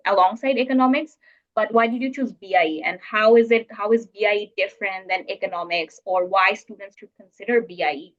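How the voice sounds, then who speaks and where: A woman hosting an interview, asking measured questions with slight hesitation. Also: medium pitch. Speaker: interviewer, host. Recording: dull, muffled sound.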